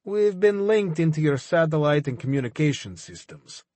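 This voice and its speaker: A man voicing a taunting, fanatical male arms smuggler, higher and louder than his narration, with slow drawn-out gloating. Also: very animated, dry recording.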